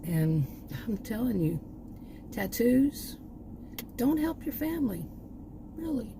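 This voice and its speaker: A woman vlogger chatting casually, meandering and restarting her sentences, her voice going hoarse. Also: on the high side, very animated, slow pace, noisy background. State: losing her voice. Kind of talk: rambling casual chat. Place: outdoors.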